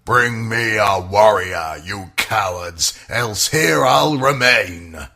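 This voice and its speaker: Male storyteller as huge menacing warrior giant, husky, taunting, for an animated kids' tale. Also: on the low side, slow, soft background music, exaggerated voice.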